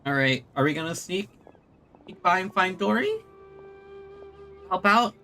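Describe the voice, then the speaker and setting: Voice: mid-range voice, animated, measured pace, pushed and bright. A streamer giving casual gaming commentary, wry and hesitant, often stammering over words. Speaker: streamer playing a game. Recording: faint game music behind.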